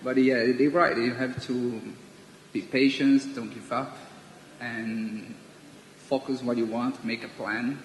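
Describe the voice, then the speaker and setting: A man from Brazil, earnestly telling his story on a panel, his voice dropping quiet at the ends of phrases. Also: medium pitch, fairly even intonation, takes it slowly. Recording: echoey hall with faint noise.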